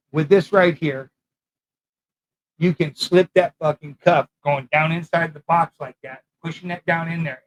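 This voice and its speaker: A man who served prison time, talking into a mic in a loud voice with blunt, profane street talk and a rambling manner. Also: a little high, poor audio. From California. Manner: rambling, loses his thread. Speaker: former prisoner sharing prison know-how. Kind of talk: casual monologue to camera.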